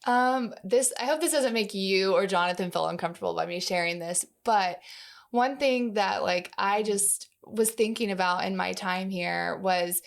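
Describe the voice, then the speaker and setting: Woman with creaky voice, chatting casually. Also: mid-range voice, normal speed. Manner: informal, uses "like" often. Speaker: interview guest, marketing staffer. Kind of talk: casual conversational storytelling.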